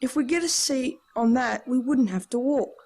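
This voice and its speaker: A wry Australian schoolchild with a fairly high voice. The kid is sensible and gently teasing, in a nostalgic radio drama style. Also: female voice, normal speed. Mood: dry, sensible. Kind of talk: nostalgic radio play acting.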